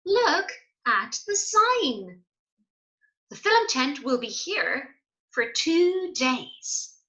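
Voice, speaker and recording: A woman's voice: high-pitched, big pitch swings, very slow, fairly bright tone, pitched above the performer's own voice, heavily acted. A woman voicing a helpful, reassuring female friend in a children's storybook, polite and encouraging.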